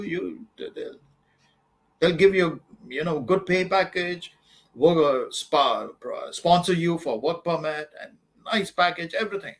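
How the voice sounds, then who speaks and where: Emphatic man giving blunt career advice. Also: a little high. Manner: emphatic and repetitive, calls listeners "man". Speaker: study-abroad advisor answering student questions. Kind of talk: informal Q&A advice talk.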